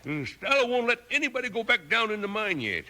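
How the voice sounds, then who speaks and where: An actor playing a crusty, loyal old miner in a western radio drama, with a raspy voice and a folksy rustic drawl. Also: male voice, high voice, big pitch swings, fast talker, sharp tone, full character voice. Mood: conspiratorial. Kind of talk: 1950s western radio acting. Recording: vintage 1950s radio recording.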